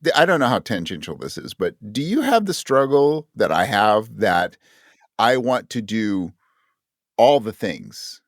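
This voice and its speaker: A man with a fairly low voice speaks as a guest in a casual interview. He is reflective and halting, often rewording his point as he works it out. The sound is close and dry. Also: sing-song, dry recording. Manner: thoughtful, halting, rephrases as he goes. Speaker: interview guest, audio drama creator.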